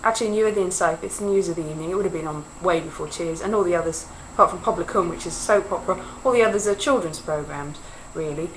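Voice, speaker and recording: A woman's voice: on the low side, quick pace, few pauses, a little hiss or hum, poor audio. Rambling self-mocking woman vlogger. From Wales. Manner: self-mocking, wandering, jokey asides. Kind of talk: rambling casual monologue.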